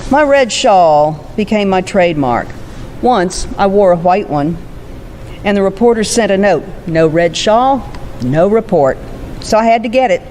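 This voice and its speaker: A woman playing an aged, resolute suffrage campaigner who recounts her life in a formal, deliberate oratorical manner. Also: mid-range voice, lively intonation, darkish tone, slight background noise, natural voice. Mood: proud, dignified. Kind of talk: first-person historical monologue on stage.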